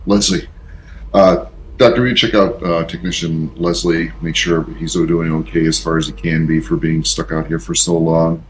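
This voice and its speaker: Deep voiced guy playing squad leader in a tabletop game, slight background noise. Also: flat delivery, normal speed. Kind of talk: in-character play as squad leader.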